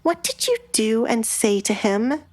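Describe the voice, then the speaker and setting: A woman voicing a quietly bold young noblewoman with a soft, measured, dry delivery in an audio drama. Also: on the high side, lively intonation, no echo, pitched above the performer's own voice. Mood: dry, curious.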